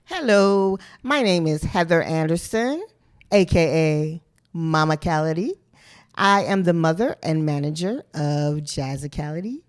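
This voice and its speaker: Mother-and-manager guest with a lowish female voice, warm and motherly. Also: expressive, measured pace. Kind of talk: casual interview chat.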